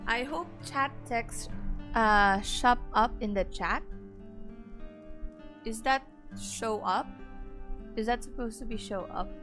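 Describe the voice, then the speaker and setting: A young woman with a raspy voice chatting casually with her viewers on a gaming stream, greeting chat and laughing often. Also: on the high side, slow pace, long pauses, a bit bright, soft background music.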